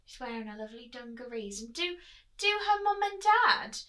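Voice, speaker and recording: A woman reading a children's storybook aloud in an expressive, dramatic way, as if to young listeners. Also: on the high side, normal speed. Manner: dramatic, asks listeners questions, drops to whispers. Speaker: storytime reader, teacher.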